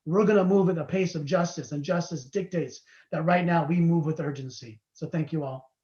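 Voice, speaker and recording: A man's voice: on the high side, fairly quick, dark tone. A man who is a state assembly member, giving an earnest, urgent political speech over a video call. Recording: echoey dull sound.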